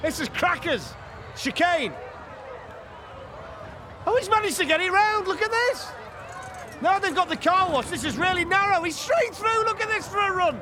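A man's voice: very high voice, crowd noise, background noise. A man commentating live on a race event, exclaiming at each run as it unfolds. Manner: excitable, quick to exclaim at runs.

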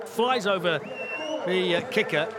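A man's voice: high voice, a crowd in the background, noisy background. A man commentating live on a race event, exclaiming at each run as it unfolds. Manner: excitable, quick to exclaim at runs.

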